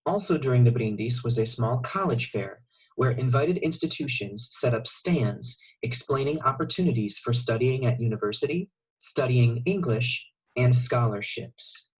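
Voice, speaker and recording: A man reading scripted narration as the narrator of an informational video, with a slightly husky voice. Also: mid-range voice, animated, normal speed, low-quality recording.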